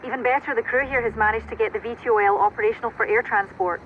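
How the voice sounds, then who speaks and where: An actress playing an efficient military operations officer, giving precise, businesslike briefings in a video game. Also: female voice, a little high, fairly even intonation, rapid-fire, lightly acted. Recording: dull radio-like sound, noisy background.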